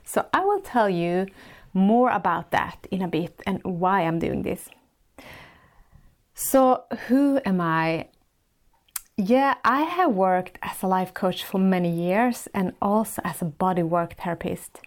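A woman with a Swedish accent, a native Swedish speaker, hosting a solo podcast as a life coach and searching gently for words in English with small restarts. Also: mid-range voice, slow pace. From Sweden.

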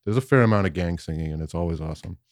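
A man's voice: low-pitched, racing, no room sound, clean studio sound. A man in his late thirties co-hosting a casual music podcast, rambling and self-deprecating with lots of fillers. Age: 30s. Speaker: podcast co-host. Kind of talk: casual chat.

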